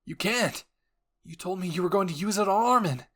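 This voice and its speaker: A man's voice: sits high, animated, a little fast, a bit bright, voice pushed up. A man voicing a desperate, tearful teenage boy soldier in an anime roleplay, pleading with urgent force. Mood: defiant.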